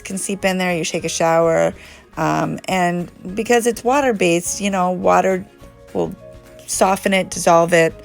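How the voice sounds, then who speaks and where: A woman talks through a nail tutorial in a relaxed, chatty way, her fairly low voice a bit gravelly and congested from allergies. Soft music plays faintly underneath. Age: adult. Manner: chatty, relaxed, frequent ums. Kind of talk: casual how-to chat.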